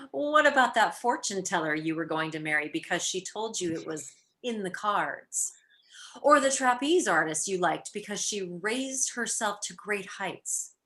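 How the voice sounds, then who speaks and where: A woman's voice: medium pitch, animated, measured pace, a bit bright. A woman playing a clever, arch young socialite wife in a vintage-style radio play. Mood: playful teasing.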